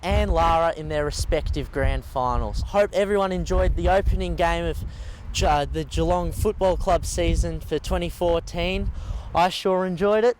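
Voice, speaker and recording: A man with a slightly high voice gives a short, upbeat sports wrap-up. Faint noise sits behind him. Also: normal speed, low-quality recording. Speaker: sports presenter.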